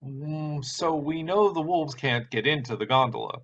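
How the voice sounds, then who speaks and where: A man's voice: on the high side, normal speed, a bit bright, pitched above the performer's own voice. A man voicing a sardonic, world-weary male traveler with a dry, sighing manner. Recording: dull sound with background hiss.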